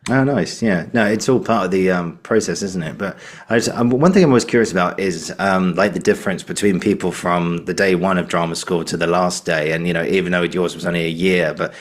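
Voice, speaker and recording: A man with a low-pitched voice hosts a podcast interview. He talks in a loose, rambling and encouraging manner, effusive towards his guest. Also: brisk. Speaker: podcast host. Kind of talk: conversational interviewing.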